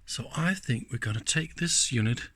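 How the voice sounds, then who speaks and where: A man giving casual, hands-on teardown commentary as a video host, informal and enthusiastic, exclaiming and thinking aloud, with a slightly husky voice. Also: medium pitch, animated, moderate pace, fairly bright tone.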